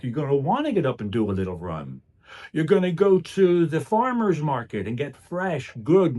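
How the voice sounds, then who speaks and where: A man's voice: medium pitch, darkish tone. A man delivering a motivational speech in an earnest, emphatic way, often posing rhetorical questions. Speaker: public speaker.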